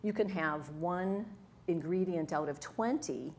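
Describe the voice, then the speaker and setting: A woman's voice: lowish voice, measured pace, a little hiss or hum. A middle-aged woman from Wisconsin, a wellness coach talking earnestly and enthusiastically as an interview guest. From Wisconsin, USA. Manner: earnest, enthusiastic, with fillers and restarts. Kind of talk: conversational interview.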